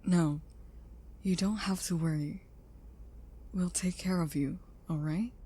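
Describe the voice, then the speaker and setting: A woman playing a caring, protective scientist in an audio roleplay, speaking gently and earnestly in a slightly husky voice. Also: lowish voice, steady tone, takes it slowly. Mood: reassuring. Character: caring female scientist, protective creator of a sentient robot.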